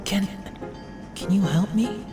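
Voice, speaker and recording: A woman's voice: medium pitch, slow, close to an everyday voice. A woman voicing an anxious, polite young boy who speaks hesitantly in an audio drama. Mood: pleading, bewildered. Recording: music under the voice. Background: loud music.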